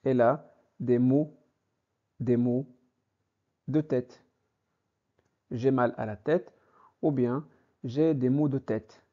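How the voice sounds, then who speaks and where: Male teacher, unhurried and methodical, repeats phrases for learners, slightly muffled recording. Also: medium pitch, fairly even intonation. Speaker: French teacher. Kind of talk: slow vocabulary lesson. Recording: dull lo-fi recording.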